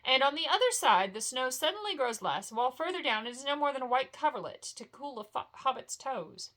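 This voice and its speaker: A woman voicing an airy, cheerful male elf scout in a storybook, with lyrical, gently teasing old-fashioned phrasing. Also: sits high, expressive, a little fast, voice pushed up.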